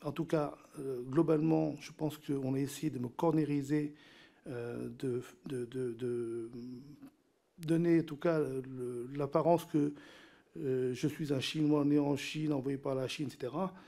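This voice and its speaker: A man's voice: mid-range voice, fairly even intonation, measured pace, gravelly, dark tone. A middle-aged man from Cambodia, whose first language is a Chinese dialect, testifying at a parliamentary hearing into a desk microphone in a large committee room, hesitant with many fillers and repeated words. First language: Teochew dialect. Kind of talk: formal testimony.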